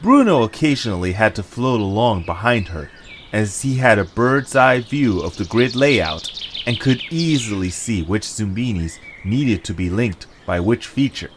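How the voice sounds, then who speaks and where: Animated male story narrator. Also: lowish voice, moderate pace, noisy background.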